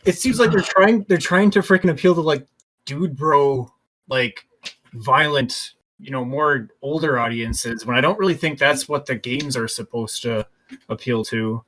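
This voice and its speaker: A man with a bright, forceful voice at an average pitch chats casually on a gaming podcast. He gives his opinions in informal, conversational speech. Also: measured pace, low-quality recording. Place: remote voice call over the internet.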